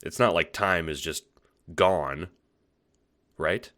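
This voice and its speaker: A man narrating an audio drama in a scripted, suspenseful style, halting with dramatic pauses. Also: low-pitched, pushed and bright.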